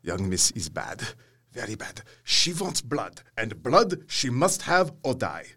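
Old doctor in audio drama, husky, very animated pitch, fatherly but bossy, odd foreign wording. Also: heavily acted. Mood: grave, urgent. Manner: deliberate, emphatic, quaintly foreign phrasing.

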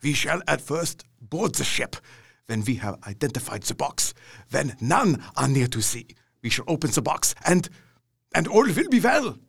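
Van Helsing, an old professor. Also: male voice, on the high side, very animated, moderate pace, creaky, a bit bright, breathy edge, exaggerated voice. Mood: paternal. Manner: solemn, paternal, odd word order. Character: elderly Dutch professor Van Helsing, wise, grave. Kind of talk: audio drama acting.

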